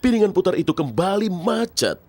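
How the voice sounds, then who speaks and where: A man's voice: high voice, sing-song, a little fast, vocal fry, a crowd in the background. A man narrating a scripted children's story. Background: music.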